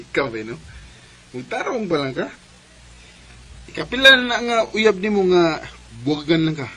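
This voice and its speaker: Bright, animated teasing buddy. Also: male voice, high-pitched, a little slow, long pauses, full character voice. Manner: colloquial, bantering. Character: teasing, bargaining young male buddy. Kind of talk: radio drama acting.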